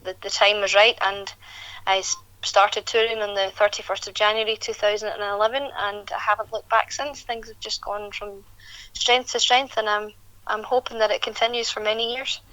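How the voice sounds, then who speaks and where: Young woman gabbing on a dull phone line, bright voice, kinda flat. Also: mid-range voice, normal speed, no room sound. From Scotland. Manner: chatty, rambling with restarts. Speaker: guest, country singer. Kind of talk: interview.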